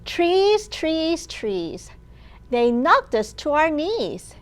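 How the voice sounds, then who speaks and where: A woman with a high voice leads a storytime for young children as their librarian. She reads very slowly, in simple and deliberate phrases that small listeners can follow. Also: very animated. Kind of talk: children's storytime with singing and read-aloud.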